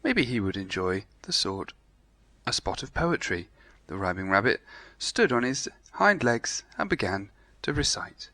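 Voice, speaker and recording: A man reading a children's picture book aloud in a measured storytelling manner. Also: lowish voice, big pitch swings, pushed and bright, no echo. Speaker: storybook reader.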